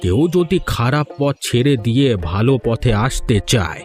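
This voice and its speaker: A man's voice: medium pitch, sing-song, normal speed, a little mellow, lightly acted. A man voicing an older village uncle and headman in a cartoon, authoritative and admonishing, with a West Bengal Bengali accent. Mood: approving. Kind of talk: cartoon voice acting. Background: music.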